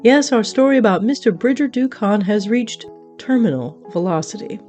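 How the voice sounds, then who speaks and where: A woman's voice: fairly high pitch, animated, moderate pace, darkish tone, soft background music, lightly acted. A woman playing a wry, grandiose otherworldly radio host, narrating an audio drama in an arch, florid, dramatic way. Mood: grandly announcing.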